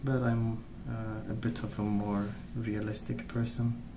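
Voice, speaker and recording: A man speaking softly in a hushed, unhurried ASMR ramble, musing as he goes. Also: on the low side, little pitch movement, echoey room, background noise. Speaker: ASMR video host. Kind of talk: soft-spoken ASMR rambling while colouring.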